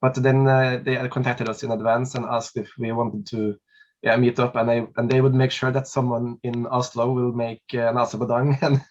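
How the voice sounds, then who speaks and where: A man's voice: medium pitch, flat delivery, normal speed. Hesitant man on a lo-fi call. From Norway. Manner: hesitant, many fillers, laughs between phrases. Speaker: singer and documentary subject. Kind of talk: casual interview answers over video call. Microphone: laptop webcam mic on a video call.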